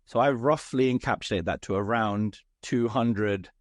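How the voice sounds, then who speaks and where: A man hosting an interview, his voice hoarse and raspy, asking structured, articulate questions. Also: mid-range voice, normal speed, dry recording, studio quality. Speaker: podcast host. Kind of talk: interview, conversational.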